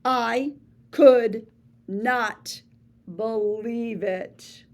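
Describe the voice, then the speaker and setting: A woman reading a children's picture book aloud in a playful, theatrical way, with big swings between soft and showy lines. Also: fairly high pitch, drawn-out words. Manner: boastful first-person storybook voice. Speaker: read-aloud storyteller.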